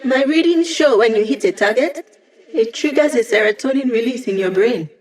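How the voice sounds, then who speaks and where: Video game mission-support hacker, female, young: wry, conversational, quick with quips; voiced by a woman. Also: fairly high pitch, lively intonation, a little mellow, natural voice. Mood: wry, playful.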